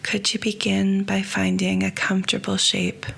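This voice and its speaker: A woman guiding a yoga nidra meditation, speaking softly and slowly, often close to a whisper. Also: mid-range voice, completely flat delivery, creaky, a little hiss or hum. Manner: soft, slow and hushed, often near a whisper. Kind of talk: guided meditation.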